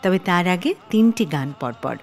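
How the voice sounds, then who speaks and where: A woman hosting a radio show, telling a scripted story in a dramatic manner with pauses for emphasis. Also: on the low side, expressive, a little mellow, in a large hall, faint music behind.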